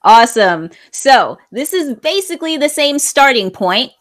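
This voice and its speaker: A woman's voice: high voice, sing-song, a little slow, a bit bright. A woman teaching an online English class, explaining grammar patiently and repeating phrases for learners.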